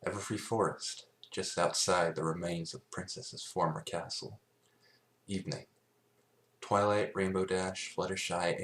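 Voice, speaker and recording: A man reading a story aloud as scripted narration, with music playing behind him. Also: low-pitched. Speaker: fan fiction reader, narrator. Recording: echoey room, music behind. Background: background music.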